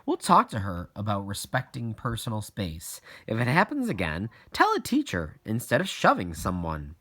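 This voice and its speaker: A man voicing a firm, patient woman school principal in a children's audiobook, measured and explanatory. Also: lowish voice, wildly swooping pitch, bright, forceful tone, breathy edge, heavily acted. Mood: firm, calm.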